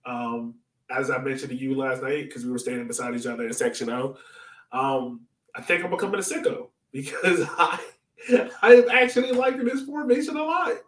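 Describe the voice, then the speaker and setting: A man's voice: medium pitch, brisk, fairly bright tone. Echoey, slightly distant male podcast host. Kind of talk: casual fan chat about soccer. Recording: roomy echo, distant from mic.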